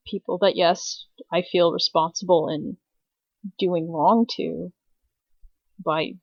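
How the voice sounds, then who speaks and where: A man's voice: high voice, gravelly, no room sound, exaggerated voice. A man playing a conscience-troubled wanderer in a tabletop game, hesitant and reflective, on slightly dull audio. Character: uncertain, conscience-troubled wanderer far from home.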